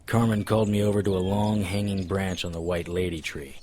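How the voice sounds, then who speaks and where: A man's voice: deep voice, little pitch movement, a little fast, darkish tone, a little hiss or hum, natural voice. A man playing a world-weary occult investigator in an audio drama, dry and clipped, narrating noir style. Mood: sober narration.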